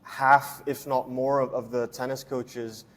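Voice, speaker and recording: A young man from Hong Kong who coaches strength and conditioning, giving relaxed, chatty interview answers with many fillers and restarts. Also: mid-range voice, measured pace.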